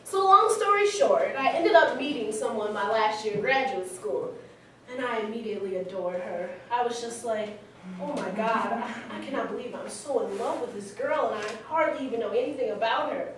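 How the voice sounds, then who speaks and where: A woman's voice: on the high side, normal speed, fairly bright tone, close to an everyday voice. A woman playing a candid, smitten young woman telling her life story conversationally in a staged reading, recorded in an echoey hall. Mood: candid, excited. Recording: very echoey hall, distant mic, faint noise.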